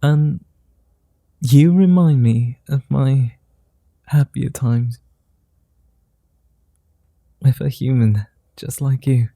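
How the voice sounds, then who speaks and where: A man voicing a gentle, flirtatious immortal vampire in an ASMR roleplay, speaking softly, slowly and teasingly. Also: mid-range voice, gravelly, no echo, studio quality, heavily acted. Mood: tender. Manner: soft, slow, flirty and teasing.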